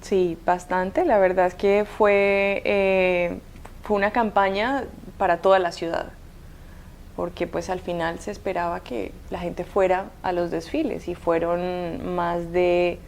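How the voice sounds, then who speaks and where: Woman, 30s, Cuban-Colombian accent, slow and unhurried, hesitant, faint background noise. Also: fairly low pitch, creaky, darkish tone. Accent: mixed Cuban and Colombian Spanish. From Cuba. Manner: hesitant, many fillers and restarts. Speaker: guest. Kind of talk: interview storytelling.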